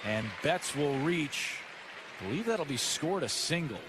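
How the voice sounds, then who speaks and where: A man's voice: fairly high pitch, big pitch swings, measured pace. A man with an American accent calling a baseball game live as a radio play-by-play announcer. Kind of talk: live radio sports commentary. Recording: clear crowd and ballpark noise behind. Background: outdoor ballpark ambience.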